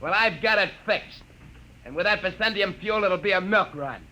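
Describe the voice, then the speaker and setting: Menacing spaceman gone paranoid: high male voice, very sharp edge, old radio sci-fi melodrama. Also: normal speed. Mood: gloating menace. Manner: menacing, taunting. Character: paranoid, murderous spaceman gloating over his stranded crewmates. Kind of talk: 1950s radio acting. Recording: noisy old 1950s radio recording.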